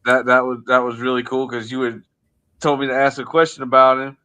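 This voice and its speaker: A man's voice: medium pitch, flat delivery, fairly quick, bright, forceful tone, smooth. A man co-hosting a sports livestream, chatting casually in a rambling, hesitant way. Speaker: sports show co-host and interviewer. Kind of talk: casual, unscripted livestream chat.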